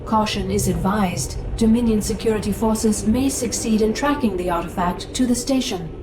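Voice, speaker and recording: Lady doing female military ai adjutant, measured n impersonal, mid pitch, bit far off, game. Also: over music, close to an everyday voice. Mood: calm, informative. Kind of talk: cinematic video game acting.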